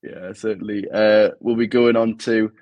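A man's voice: lowish voice, fairly even intonation, normal speed, no echo, highs cut off. Young Geordie man reviewing football. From Newcastle, England. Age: young adult. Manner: chatty, analytical match review. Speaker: podcast co-host. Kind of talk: casual football podcast chat.

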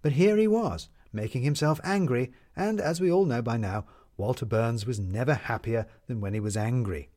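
Male narrator for a scripted tale: average pitch, measured and lyrical phrasing. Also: dark tone.

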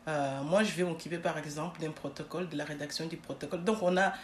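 A woman's voice: low-pitched, steady tone, fast talker, slight background noise. Hesitant woman, echoey room. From born in Congo, raised in Belgium. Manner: hesitant, many "euh" fillers, earnest. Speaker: podcast guest. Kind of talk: interview answers. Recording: echoey room with faint ambience.

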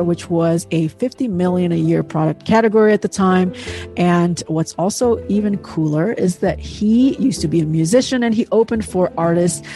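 An enthusiastic podcast host hypes up the guests in an upbeat intro, leaning on fillers and asides. The pitch sits in a middle range. Music plays clearly underneath the voice. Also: mellow. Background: background music.

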